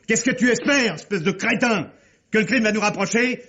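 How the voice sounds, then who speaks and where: Radio mystery villain: sardonic, scheming nephew, husky delivery, dull old-radio sound. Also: male voice, high-pitched, normal speed, bright, forceful tone. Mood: mocking fury. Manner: sardonic, coolly cutting. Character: cynical, calculating murderer nephew taunting his guilty accomplice. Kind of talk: French radio mystery drama acting. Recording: muffled old radio drama recording.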